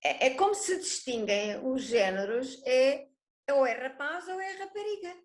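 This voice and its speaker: A woman with a European Portuguese accent, a guest in a casual conversation who speaks gently and politely. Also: high-pitched, expressive, normal speed, echoey room.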